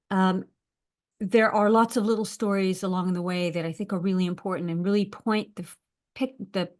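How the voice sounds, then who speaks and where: An American woman with a somewhat low voice speaks in a webinar over a video call. She talks hesitantly, pausing often on filler words. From United States. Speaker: university lecturer. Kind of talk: webinar talk.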